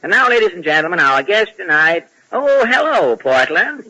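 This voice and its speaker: A man's voice: high-pitched, very animated, moderate pace, a crowd in the background, rough recording. A man hosting a 1940s radio comedy show, presenting sketches and guests to listeners. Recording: old radio broadcast with audience noise.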